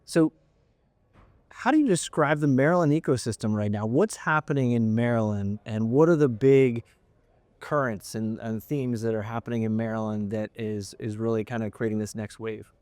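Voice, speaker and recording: A middle-aged man interviews conversationally, haltingly searching for his words. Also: medium pitch, darkish tone, no room sound. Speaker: interviewer, host. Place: indoor event interview set.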